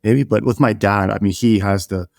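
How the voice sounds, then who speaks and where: A man's voice: on the low side, steady tone, moderate pace, vocal fry, darkish tone, dry recording, studio quality. A Canadian-raised man whose first language is Polish, co-hosting a podcast in rambling, casual chat with frequent restarts and casual swearing. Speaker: podcast co-host.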